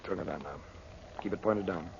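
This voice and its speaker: A muffled, noisy old radio adventure recording carries a low male voice. a cautious, commanding uncle who speaks in measured, calm phrases, like a leader guiding a younger companion. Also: vocal fry. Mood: hushed, commanding. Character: calm, cautious uncle leading his young nephew through ruins. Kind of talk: 1940s radio adventure acting.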